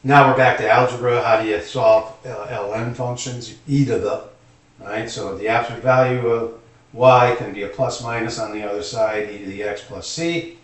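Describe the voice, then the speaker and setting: A man's voice: medium pitch, steady tone, moderate pace. A man teaching calculus informally at a board, thinking aloud as he explains each step. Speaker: math teacher. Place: indoor room, at a board. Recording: echoey room, distant microphone.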